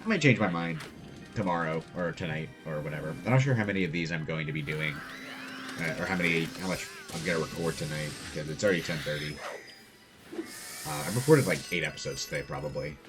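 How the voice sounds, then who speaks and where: A soft-spoken young man casually commenting on his gameplay as host. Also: very deep voice, quick pace, music in the background. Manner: quiet, soft-spoken, laid-back. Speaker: gaming channel host.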